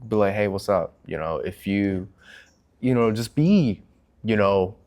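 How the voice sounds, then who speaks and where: A man's voice: lowish voice, a little slow, darkish tone. A man hosting a casual chat in an informal, hesitant way, often searching for words. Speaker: channel host.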